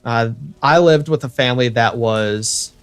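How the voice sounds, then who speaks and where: A man's voice: medium pitch, unhurried, clear, resonant tone, no room sound, soft background music. A young American man chatting casually to viewers while he livestreams a building game, rambling and correcting himself as he goes. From United States. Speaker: streamer.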